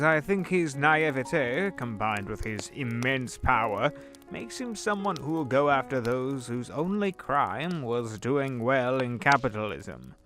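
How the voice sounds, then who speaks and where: A man's voice: mid-range voice, lightly acted. A man playing an urbane, sly old devil in an audio drama, wordy and courteous, savoring his phrases. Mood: probing, polite. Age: older.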